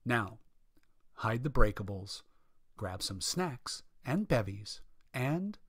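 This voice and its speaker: A man announces a show from a script. His voice sits at a middle pitch. The sound is dry, with no room around it. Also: very animated, takes it slowly, a bit bright, husky, dry recording.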